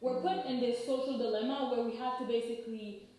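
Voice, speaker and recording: A woman in her thirties from Haiti, explaining in a measured, lecturing way and often checking in with "right?". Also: on the high side, fairly even intonation, a little mellow, a little hiss or hum. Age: 30s. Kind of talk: explanatory talk. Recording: very echoey room.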